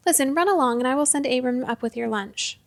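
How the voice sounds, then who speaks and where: A woman narrator puts on a storybook townsman with a breezy, dismissive temper. The voice is raised high, brisk and placating. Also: creaky, dry recording. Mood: dismissively cheerful.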